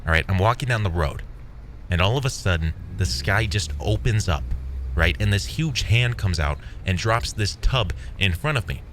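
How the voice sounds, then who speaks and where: A man playing an excitable, incredulous caller rambling through a comedic ad monologue. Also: fairly low pitch, normal speed, slight background noise. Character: excitable, rambling man pitching a product over the phone.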